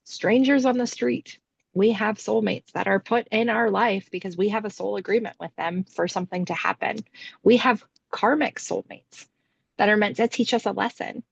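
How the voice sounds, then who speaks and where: Woman on a podcast explaining stuff casually, vocal fry, lists things with emphasis, dry lo-fi sound. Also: mid-range voice, moderate pace, no echo. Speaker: podcast co-host.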